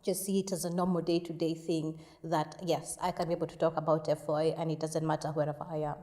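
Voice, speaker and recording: Earnest woman, low-pitched. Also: level tone, dark tone, in a large hall. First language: Kikuyu. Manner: earnest, measured, reflective. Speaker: charity organizer, interview guest. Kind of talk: casual interview answers.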